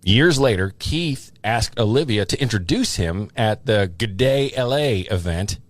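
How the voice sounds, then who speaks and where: A man's voice: on the low side, expressive, measured pace, dry recording. An American man presenting on country music radio in a chatty, casual, promotional style into a studio microphone. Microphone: studio broadcast microphone. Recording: radio aircheck with music behind.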